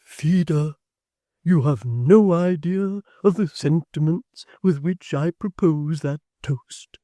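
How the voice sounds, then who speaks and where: A man voicing a bashful, flustered young gentleman who stammers, in a storybook reading. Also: a little high, slow pace, no echo, voice pushed up. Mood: earnest.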